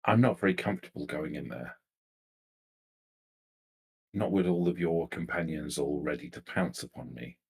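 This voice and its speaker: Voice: very deep voice, moderate pace, long pauses. A performer voicing a wary, suspicious vampire negotiator in a tabletop roleplay, terse and guarded with probing questions. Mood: guarded, curt. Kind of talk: in-character tabletop roleplay dialogue.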